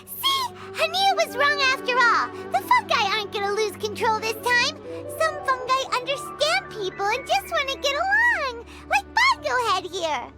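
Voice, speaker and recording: Tiny squeaky fairy game sidekick, childlike and chatty, super high, bouncing all over the place. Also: female voice, full character voice. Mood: amazed, delighted. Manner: speaks of self in third person, exclamatory. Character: small excitable childlike fairy companion, chatty and earnest. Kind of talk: anime game voice acting. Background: music.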